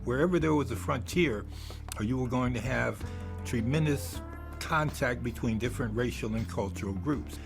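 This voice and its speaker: A man's voice: medium pitch, moderate pace, creaky, music in the background. A man speaking as a history expert in a documentary interview.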